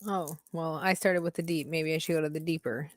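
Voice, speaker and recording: A woman's voice: sits low, steady tone, brisk, no room sound. A woman hosting a casual chat, reading out party questions and thinking them over aloud with hums and giggles, over a video call. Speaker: host.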